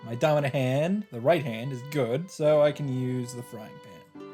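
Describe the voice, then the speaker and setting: Man reading a visual novel aloud, mid-pitched. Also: normal speed, mellow. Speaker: let's play host. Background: game music.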